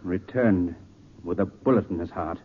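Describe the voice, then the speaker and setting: An actor playing a shrewd, commanding gentleman sleuth in 1940s radio style. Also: low-pitched, moderate pace, lightly acted. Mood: grim, commanding. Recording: tinny noisy 1940s radio recording.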